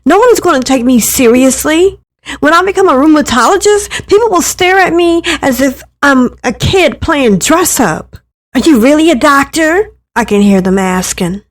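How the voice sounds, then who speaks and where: A woman's voice: high voice, animated, normal speed, pitched above the performer's own voice. A woman voicing an insecure, defensive young woman student with a plaintive, emotional, exasperated manner in a visual novel. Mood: insecure, hurt.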